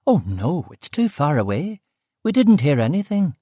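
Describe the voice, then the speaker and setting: An irritated middle-aged woman from West Belfast, the house cook, answering in short, wary bursts, voiced by a man reading the story. Her voice sits high, with a curt, grudging edge on every reply, set in a dry, close storybook recording. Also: very animated, moderate pace, warm tone, no room sound. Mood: guarded. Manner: curt, guarded replies. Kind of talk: audiobook character voice.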